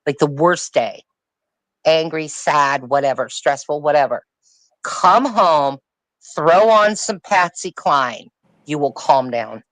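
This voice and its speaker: A woman's voice: deep voice, lively intonation, slow pace, no room sound, poor audio. A woman on a live-streamed panel chat, talking about music in an emphatic, opinionated way and repeating her points. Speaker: panel guest.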